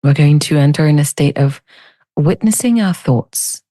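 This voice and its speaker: A woman leading a guided meditation as a teacher, speaking in a soothing, unhurried, gently inviting way. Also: sits low, no echo, clean studio sound.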